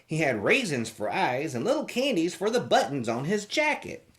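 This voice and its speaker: Read-aloud host for kids, playful and theatrical, with hugely swooping pitch. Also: huge pitch swings, moderate pace, a bit bright. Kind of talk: expressive storybook reading.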